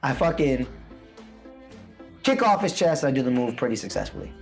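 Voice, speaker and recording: A man tells a loose, animated story on a casual show, swearing freely and acting out what people said. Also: mid-range voice, a little fast, faint music behind. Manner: animated, loose, swears a lot, acts out quotes. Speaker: co-host telling a story.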